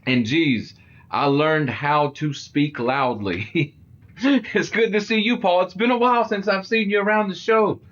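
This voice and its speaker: Middle-aged male stream host, loud and talkative, slightly high voice. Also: measured pace, lo-fi sound. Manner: loud, chatty, talks to viewers. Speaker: livestream host. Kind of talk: casual gaming livestream chat.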